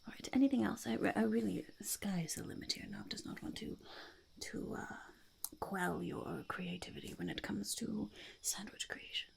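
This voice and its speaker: A woman voicing an eccentric, self-important goblin in a whispered ASMR roleplay, breathy with creaky edges, fussy, hesitant and repetitive. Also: mid-range voice, moderate pace, full character voice. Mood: curious, finicky. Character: eccentric, self-important goblin overlord interviewing a would-be sidekick.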